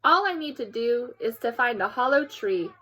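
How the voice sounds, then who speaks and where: Woman as a wise, bright-voiced old grandpa bear. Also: on the high side, animated. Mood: wise, proud. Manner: gentle, unhurried, proudly reassuring. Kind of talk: children's storybook read-aloud.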